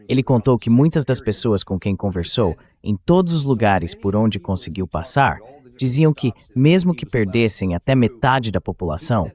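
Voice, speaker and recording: A man's voice: fairly low pitch, a little mellow. A man with a Brazilian accent reading an interviewer's part as a translated voice-over, setting out questions for a guest. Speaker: interviewer and host.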